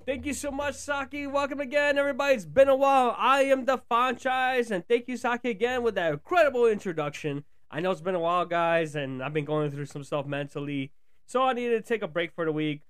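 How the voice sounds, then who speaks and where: A man with a noticeably high voice hosts a podcast. He talks casually and informally, addressing listeners directly in a solo monologue. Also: fairly quick, a bit bright, clear, resonant tone. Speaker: podcast host.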